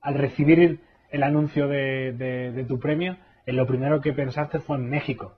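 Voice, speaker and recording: A man asks interview questions in a measured, formal tone. His voice is mid-pitched and a little husky. The recording sounds dull and lo-fi. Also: fairly even intonation.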